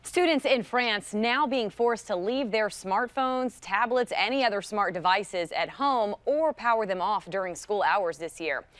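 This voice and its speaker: A woman morning news anchor speaks at a medium pitch. She reads items and chats with co-anchors, and the recording is dry and close. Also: expressive, measured pace, few pauses, fairly bright tone, no echo. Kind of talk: news reading and co-anchor chat.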